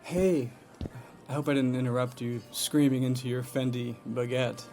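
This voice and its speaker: A creepy, adoring coworker played by an actor in a podcast comedy-drama. The delivery is halting, awkward and meekly over-earnest. Faint music sits behind the voice. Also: mid-range voice, fairly even intonation, dark tone, close to an everyday voice. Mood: awkwardly earnest.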